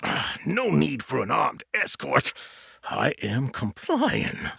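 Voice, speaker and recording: A man's voice: mid-range voice, wildly swooping pitch, slow pace, sharp tone, a little hiss or hum, heavily acted. An actor playing a proud, imperious warlord villain in a video game, formal and haughty in his phrasing. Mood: resigned, formal. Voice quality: gravelly, husky. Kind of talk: dramatic video game voice acting.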